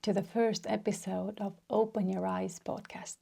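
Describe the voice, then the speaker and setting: A woman with an Estonian accent hosting a solo podcast, talking slowly and measuredly with many pauses. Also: mid-range voice, little pitch movement, vocal fry, mellow, slightly rough. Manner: slow and measured, with frequent pauses. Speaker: podcast host. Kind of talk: unscripted solo podcast talk.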